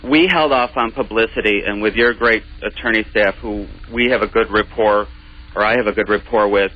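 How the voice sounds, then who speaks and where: Defensive, halting man on lo-fi radio. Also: fairly low pitch, steady tone. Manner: defensive, halting, repeats phrases. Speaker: interview guest, pageant organizer. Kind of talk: unscripted radio debate. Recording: dull, lo-fi radio recording.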